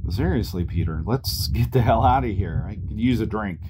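An actor playing a gruff, stubborn but loyal wisecracking man in an audio drama. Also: on the low side, animated, normal speed, background noise, close to an everyday voice. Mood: relieved, teasing.

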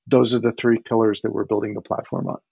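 A man in his forties, born in Ukraine, talking as a startup founder on a video call from his home, explaining with lively gestures and frequent restarts. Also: lowish voice, fast, vocal fry, husky, no room sound. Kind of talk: casual video-call interview. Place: home living room. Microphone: headset on video call. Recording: dull, dry headset audio.

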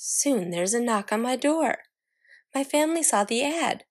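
A woman voicing an excitable little girl who adores fancy things, in a dramatic storybook reading. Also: fairly high pitch, measured pace. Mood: proud, eager. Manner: dramatic, exclaiming, storybook delivery.

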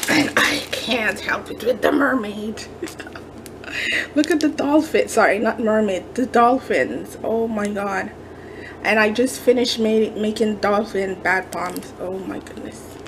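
A woman's voice: medium pitch, takes it slowly, noisy background. A woman chatting casually as she shows off a craft shopping haul, gushing with many fillers, recorded at a kitchen counter. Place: home kitchen counter, indoors.

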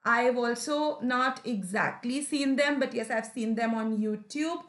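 A woman's voice: fairly high pitch, measured pace, a bit bright. A young adult woman with an Indian English accent, a Hindi native speaker, explaining a story line by line as an English teacher, often asking "right?" and "you know". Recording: echoey room.

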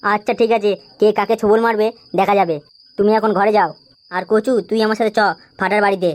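Woman acting a fast, cocky young street tough. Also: medium pitch, fairly even intonation, darkish tone, no echo, lightly acted. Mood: defiant. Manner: brash, bossy. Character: cocky, foul-mouthed young male village tough. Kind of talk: amateur comedy cartoon dub.

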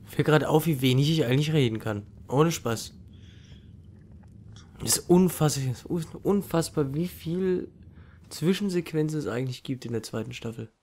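A man's voice: on the high side, normal speed, darkish tone, a little hiss or hum. A man, a native German speaker, giving casual let's play commentary over a game. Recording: faint game sound behind commentary.

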